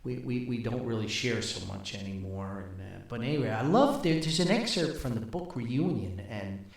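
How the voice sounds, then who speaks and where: An older man hosting a podcast, telling nostalgic stories in a folksy, rambling way with halting, repeated words. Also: medium pitch, lively intonation, gravelly. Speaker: podcast host, author. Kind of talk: nostalgic storytelling monologue. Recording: very echoey, reverberant voice recording.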